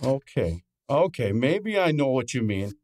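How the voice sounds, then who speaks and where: Man acting a brash, lively radio gumshoe. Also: mid-range voice, a little slow. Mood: matter-of-fact. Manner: exasperated, sardonic, hard-boiled. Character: brash, wisecracking male private detective's assistant. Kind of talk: old-time radio detective drama acting.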